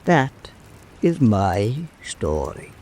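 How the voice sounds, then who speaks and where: A man playing an old island storyteller, telling eerie folk tales in a deliberate, pausing way. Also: fairly low pitch, big pitch swings, darkish tone, a little hiss or hum, heavily acted. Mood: grave, eerie. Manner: slow, deliberate oral storytelling with pauses. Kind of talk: dramatic folk-tale narration.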